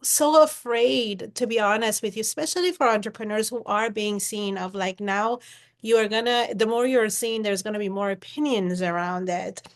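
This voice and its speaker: A woman hosting a podcast interview, talking in an animated way with many fillers. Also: medium pitch, normal speed. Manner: animated, filler-heavy talk. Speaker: podcast host.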